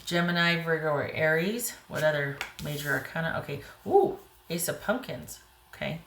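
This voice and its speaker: Slightly hoarse low-voiced woman reading tarot. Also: normal speed, reverberant, a little hiss or hum. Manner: thinks aloud while pulling cards. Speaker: tarot reader. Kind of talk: casual tarot reading to camera.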